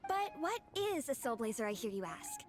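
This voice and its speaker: An actress playing an earnest, excitable young comic book superfan in a video game, dramatic and gushing. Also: female voice, on the high side, a little fast, music in the background. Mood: grandiose.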